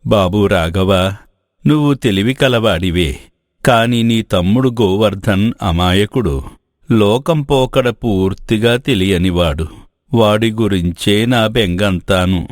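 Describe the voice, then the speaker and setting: A man's voice: on the low side, slow pace, no echo, clean studio sound. A man playing an ailing, worried old father in a moral story, giving solemn advice.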